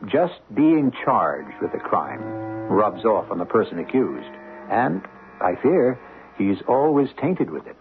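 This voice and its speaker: A man hosting a vintage radio mystery, narrating a script in a measured, dramatic, ironic manner. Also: lowish voice, very animated. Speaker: host and narrator. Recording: tinny old radio recording. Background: music.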